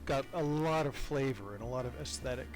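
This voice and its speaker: A man's voice: mid-range voice, brisk, faint music behind. An older man chatting casually as a podcast guest, talkative and self-deprecating. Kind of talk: casual interview chat.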